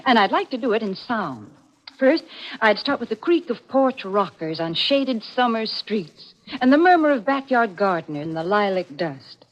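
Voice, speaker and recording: A woman giving a polished, chirpy scripted sponsor's pitch in 1940s radio style. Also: very animated, normal speed. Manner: chirpy, polished sales delivery. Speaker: sponsor's spokeswoman. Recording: dull vintage radio recording.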